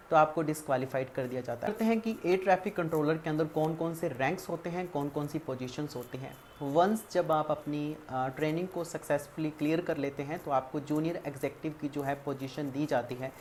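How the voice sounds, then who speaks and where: An Indian woman with a notably low-pitched voice presents an explainer in a methodical, point-by-point way. She mixes English terms into her speech as she guides the listener. There is some ambient noise behind her voice. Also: a little mellow. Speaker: career guidance video presenter. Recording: clearly audible background ambient noise.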